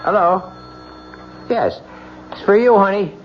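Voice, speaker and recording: Affectionate young husband, slow delivery. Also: male voice, high voice, very noisy. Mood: tender joy. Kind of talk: 1970s radio drama acting. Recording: dull old radio broadcast, loud music.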